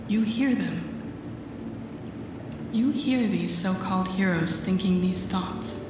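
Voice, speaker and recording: Slow-speaking, intense villainess played by a woman. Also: medium pitch, lightly acted. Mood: bitter, accusing. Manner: slow, deliberate, often dropping into breathy near-whispers. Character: female telepathic villain, guarded, intense, secretly tender mentor. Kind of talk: intimate audio roleplay acting addressed to the listener. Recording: roomy echo with music behind.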